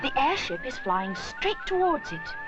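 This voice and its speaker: A woman reading a children's story with expressive, dramatic narration. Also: sits high, measured pace, highs cut off. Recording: noisy recording.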